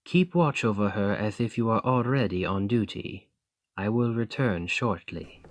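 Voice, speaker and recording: A man's voice: on the low side, unhurried, a little hiss or hum, close to an everyday voice. A man voicing a loyal veteran knight bodyguard who speaks formally and dutifully, in an ASMR roleplay.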